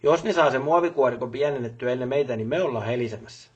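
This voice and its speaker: A man's voice: medium pitch, breathy edge, dull audio, natural voice. A man playing a busy, businesslike factory boss in a video game, talking colloquially and repeating short affirmations. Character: busy, brisk male factory boss on the job. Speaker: voice actor for a game character. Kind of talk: game voice lines, phone calls and workplace speeches.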